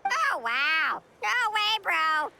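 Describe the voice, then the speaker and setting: Game casting: childlike floating fairy sidekick, squeaky, very high and animated, cheeky and exclamatory. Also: female voice, drawn-out words, noisy background, full character voice. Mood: exasperated. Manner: exclamatory, teasing, slangy. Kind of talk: video game voice acting.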